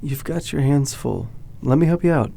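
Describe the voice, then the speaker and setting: A man playing a steady, protective young friend, easygoing and reassuring, in naturalistic audio drama style. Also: medium pitch, moderate pace, creaky, a little hiss or hum, lightly acted. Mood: helpful.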